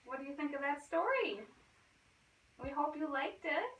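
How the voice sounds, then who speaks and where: A woman's voice: sits high, expressive, moderate pace, fairly bright tone. A woman schoolteacher reading a picture book aloud expressively and prompting with questions in a teacherly way. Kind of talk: storybook read-aloud with questions to a child. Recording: roomy, distant microphone, faint noise.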